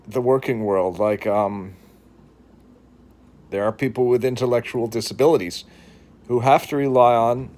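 A man's voice: medium pitch, a little mellow. Earnest man with a New England accent. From New England, Boston. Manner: earnest, halting, many restarts and fillers. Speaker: vlogger. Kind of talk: reflective talk to camera. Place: living room, seated on couch indoors. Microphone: phone camera at arm's length.

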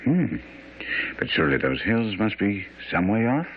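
An actor playing a shrewd, unflappable gentleman detective in a radio drama, courteous, probing and dryly cool. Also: on the low side, very animated, measured pace, phone-quality sound. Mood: probing, thoughtful. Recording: thin, noisy old radio broadcast.

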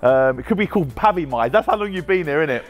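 A man's voice: a little high, lively intonation, fast, dull audio, soft background music. A British man in his thirties, hosting a casual, jokey walkaround of his car wrap workshop and chatting with his staff as he goes. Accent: British English. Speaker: car wrap shop owner and host. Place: large bright indoor car workshop.

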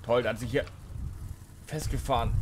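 A young male let's player with an average-pitched voice. He chats casually on a close mic, reacting to the game in short, offhand phrases. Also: steady tone, moderate pace, slight background noise. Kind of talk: casual co-op gaming chat.

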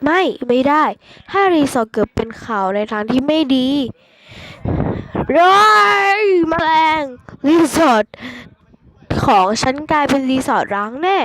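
A woman's voice: sits high, very animated, unhurried, fairly bright tone, no room sound, full character voice. A woman voicing a vain, scheming male resort owner from a comic, grumbling dramatically and easily rattled. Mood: panicked. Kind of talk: amateur comic read-aloud. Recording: clearly noisy home recording with clicks.

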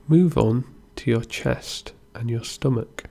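A man gently guiding a meditation as an instructor, in an unhurried way with pauses between instructions. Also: mid-range voice, gravelly. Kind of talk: guided body scan meditation narration.

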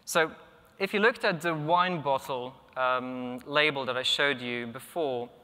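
A man's voice: medium pitch, unhurried, pushed and bright, clean tone. Young man lecturing in a reverberant hall. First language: Polish. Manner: thoughtful, many ums and restarts. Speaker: conference speaker. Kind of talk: technical conference talk. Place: large conference hall, at a lectern. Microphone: lectern microphone.

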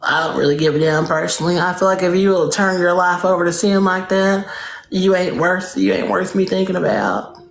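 A woman playing a prim, judgmental churchgoing woman in a live chat game, with a blunt, sharp-tongued manner. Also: on the low side, steady tone, a little fast, vocal fry, a little hiss or hum. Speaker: livestream guest. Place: room lit purple, indoors. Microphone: phone camera on a live stream. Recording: roomy phone livestream audio.

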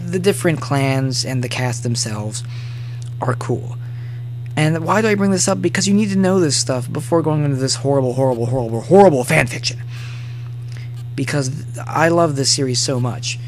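A young man giving casual, rambling commentary in a halting, emphatic, exasperated way, repeating words for dramatic effect. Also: medium pitch. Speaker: fan fiction reader and commentator. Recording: noticeable background noise.

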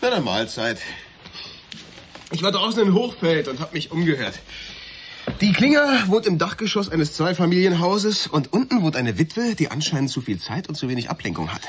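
An actor playing a casual, wry junior police detective in a radio drama, talking colloquially. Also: male voice, a little high, very animated, moderate pace, breathy edge. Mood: wry, reporting. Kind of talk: German radio drama acting.

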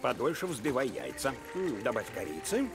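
A man voicing an older, grumpy but kind-hearted pastry baker in an animated film, gruff and a little hesitant. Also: mid-range voice, normal speed, over music. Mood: gruffly amused. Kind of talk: animated film dub acting.

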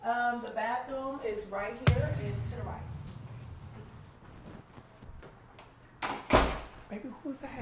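A woman's voice: mid-range voice, noisy background, natural voice. An actress playing an exasperated young girlfriend in a naturalistic comedy skit, with an American accent. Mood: casual. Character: exasperated, fed-up young woman arguing with her boyfriend. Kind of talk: comedy skit acting, naturalistic couple banter.

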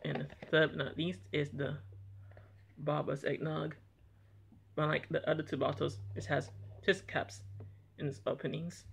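A young man talking plainly and a little haltingly as he reviews eggnog on a home vlog, filmed by a camera on the table in a small room. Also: a little high, little pitch movement, gravelly, fairly bright tone, husky. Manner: plain, unhurried, slightly halting talk. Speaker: product reviewer. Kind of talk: casual taste-test vlog. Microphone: camera on table in front.

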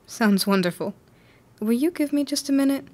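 A woman's voice: sits high, lively intonation, moderate pace, a little mellow. A young woman playing a sweet, self-doubting 1960s female student in an audio drama. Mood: grateful. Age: young adult. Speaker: student actor.

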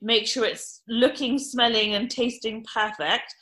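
A woman in her thirties, a restaurant owner, telling a podcast interviewer a long anecdote in an animated, chatty way with plenty of fillers. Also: fairly high pitch, a little slow.

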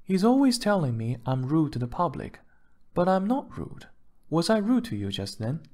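Man voicing a breezy high-pitched glamorous wife. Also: big pitch swings, normal speed, a little mellow. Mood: defensive. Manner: gushing, defensive, pet names for husband. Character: wealthy glamorous wife, breezy, touchy, charming woman.

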